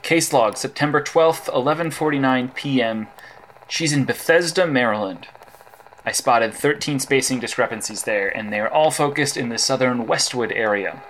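A man's voice: mid-range voice, normal speed, a bit bright, a little hiss or hum, lightly acted, poor audio. An actor playing a dry, wisecracking male vigilante detective who dictates case logs. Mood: focused. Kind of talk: audio drama acting.

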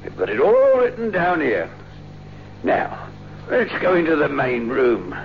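An actor playing a brisk, reassuring official handling a disturbed patient in a 1960s radio drama. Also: male voice, fairly high pitch, wildly swooping pitch, gravelly, exaggerated voice. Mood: calm, explanatory. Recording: dull, faintly noisy vintage radio recording.

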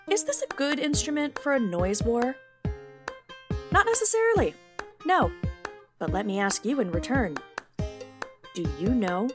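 A woman playing a petty, indignant neighbor in a wry, theatrical yet conversational comic monologue. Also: fairly high pitch. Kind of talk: comic monologue in audio drama.

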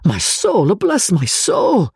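Casting: flustered little Italian gentleman professor played by a woman reader, high, swooping voice, classic audiobook. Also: wildly swooping pitch, takes it slowly, gravelly, slightly rough, dry recording, studio quality, full character voice. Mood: bewildered. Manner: exclamatory, dramatic, flustered. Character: excitable, bewildered little Italian professor, a man. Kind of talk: dramatic audiobook reading.

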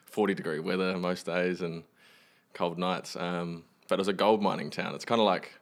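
A young man from Western Australia with a deep voice talks casually as a musician being interviewed. He keeps things understated and matter-of-fact. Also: level tone, normal speed. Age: young adult. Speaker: musician guest. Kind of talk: casual chat.

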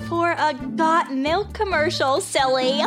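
High-voiced, flighty Hollywood actress. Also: female voice, takes it slowly, over music. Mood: breezy.

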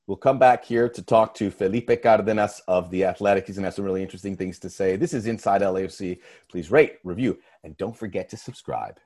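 A man's voice: low voice, fast talker, darkish tone. A man hosting a sports podcast, talking in an earnest, emphatic way and often restarting his phrases. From United States. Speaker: podcast host. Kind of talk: casual podcast talk.

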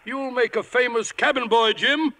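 Radio play: boastful, jovial squire, high forceful voice, full of bluster. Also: male voice, moderate pace. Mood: blustering, excited. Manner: blustering, repeats phrases eagerly. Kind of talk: 1950s radio drama acting.

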